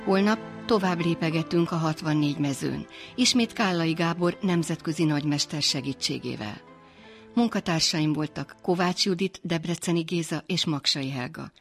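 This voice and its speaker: A woman's voice: deep voice, soft background music. A woman presenting a radio programme from a script as its host.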